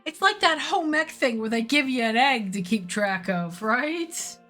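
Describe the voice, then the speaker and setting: High, bright woman reacting wryly. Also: animated, soft background music. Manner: quick, wry asides. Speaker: co-player. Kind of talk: casual reactions while playing.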